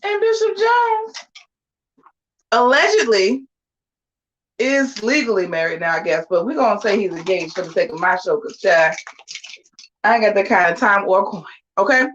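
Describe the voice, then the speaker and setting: A woman's voice: medium pitch, lively intonation, moderate pace, pauses often, a bit bright, dull audio. A woman in her forties chatting casually to her viewers while getting ready, sassy and full of slangy asides. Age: 40s. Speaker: vlogger. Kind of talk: casual get-ready-with-me chat.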